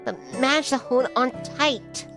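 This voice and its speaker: A woman's voice: high voice, unhurried, vocal fry. A woman streamer reading a visual novel aloud, mixing narration with casual asides. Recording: music behind the voice.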